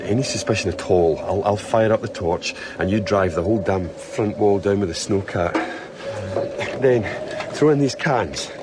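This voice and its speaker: A man's voice: on the low side, normal speed, natural voice. Man acting a stern polar expedition leader, noisy backdrop. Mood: grim resolve. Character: grim, commanding man at a polar research station. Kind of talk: dramatic audio drama acting.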